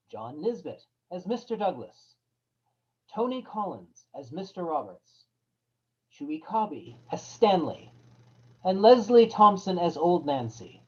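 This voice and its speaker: A man's voice: high-pitched, very animated, slow, long pauses, a little mellow. A man announcing scripted credits in old time radio style, on a dull recording.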